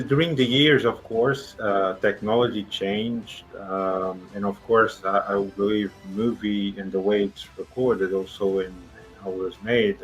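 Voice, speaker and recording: Man, podcast host, fairly low voice, hesitant, non-native phrasing, faint music. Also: low-quality recording. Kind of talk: interview questions.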